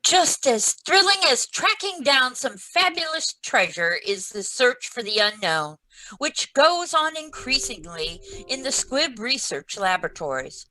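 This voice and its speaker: A woman's voice: sits high, big pitch swings, unhurried, bright, forceful tone, heavily acted, poor audio. A woman playing an earnest 1940s network radio announcer, reading sponsor copy in a formal, declamatory way. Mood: earnest, promotional. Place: online video-call read-through. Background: music.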